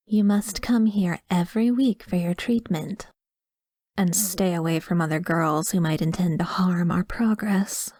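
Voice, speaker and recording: A woman playing a doting, manipulative therapist in an ASMR roleplay, soft-spoken with breathy, coaxing, controlling phrasing. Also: mid-range voice, dry recording, clean studio sound, lightly acted. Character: doting, possessive female therapist, manipulative and smoothly professional.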